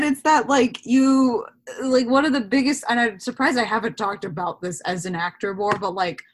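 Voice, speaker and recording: A woman, an actor being interviewed, talking in a casual, animated chat. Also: mid-range voice.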